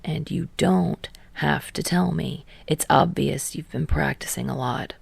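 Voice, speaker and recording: A guarded, sarcastic teenage boy, acted by a woman, in a lowered, quiet voice. He mutters with deadpan understatement, like a character in an audiobook, close and dry. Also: measured pace, vocal fry, husky, no echo, full character voice. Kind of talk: audiobook character voice in fan fiction reading.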